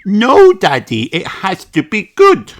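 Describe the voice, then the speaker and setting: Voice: medium pitch, wildly swooping pitch, slow, noisy background, pitched above the performer's own voice. A storybook reader voicing an eager, bossy little girl pig in an emphatic, childlike way. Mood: indignant. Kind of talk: storybook character voice.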